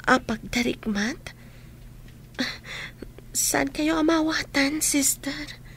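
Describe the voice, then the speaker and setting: An actress with an Ilocano accent playing a wistful, grateful young trainee seeking guidance in a radio drama. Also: female voice, high voice, slow pace, creaky, a bit bright, slight background noise, heavily acted.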